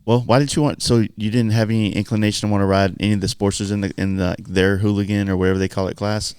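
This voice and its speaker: A man's voice: on the low side, level tone, fast talker, a little mellow, clean tone, no room sound, studio quality. A man hosting a podcast, chatting casually in a slangy, laid-back way with some swearing. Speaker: podcast host.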